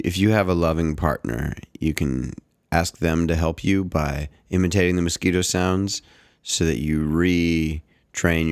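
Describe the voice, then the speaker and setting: Very deep-voiced man, casual and joking. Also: no room sound, studio quality. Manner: joking, playful, sometimes swoops his voice for effect. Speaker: podcast co-host. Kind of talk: casual podcast chat.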